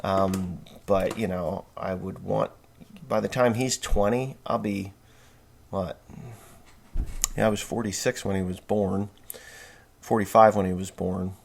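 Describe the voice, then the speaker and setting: Man in his forties, very deep voice, gravelly, blunt folksy rambling talk. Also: fairly even intonation, measured pace, pauses often, a little hiss or hum. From New Jersey, USA. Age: 40s. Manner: blunt, folksy, many fillers and restarts. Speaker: podcast host. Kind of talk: casual rambling monologue.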